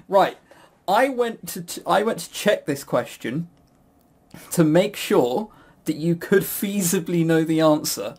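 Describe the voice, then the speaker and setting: A man's voice: a little high, lively intonation, slow, pauses often, a little mellow, slightly rough. A man hosting a casual quiz, chuckling and teasing as he banters. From a Western country. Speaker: quiz host.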